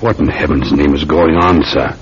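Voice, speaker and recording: Very deep-voiced police sergeant. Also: male voice, normal speed. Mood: grave. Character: dogged, grave Scotland Yard homicide sergeant, an uncle. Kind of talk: radio drama acting. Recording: dull, faintly noisy radio drama recording.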